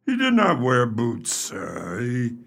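A man acting a blustering, slow-witted chief constable in a radio mystery. His voice is gravelly and husky, swinging widely in pitch as he speaks in plain, hesitant phrases. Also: huge pitch swings, a bit bright, heavily acted. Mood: baffled, impressed. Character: unimaginative, easily astonished male chief constable.

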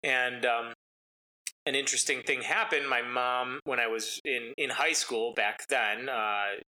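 Male guest, Italian first language, bright tone, loose rambling delivery with fillers. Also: mid-range voice, a little slow, poor audio. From United States. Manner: rambling, relaxed, frequent ums and uhs. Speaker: interview guest, racing driver. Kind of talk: casual storytelling interview.

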